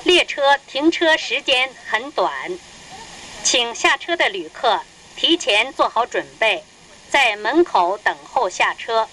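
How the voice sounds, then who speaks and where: A woman delivering a formal, deliberate, scripted station announcement as a train announcer. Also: a little high, animated, bright, forceful tone, poor audio. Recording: train speakers with faint noise. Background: train cabin hum.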